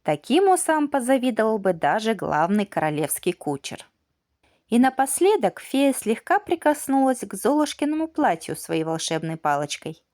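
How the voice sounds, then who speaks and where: A woman narrating a children's fairy tale as an audiobook, in an expressive, measured bedtime-story manner. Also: on the high side, no room sound, clean studio sound.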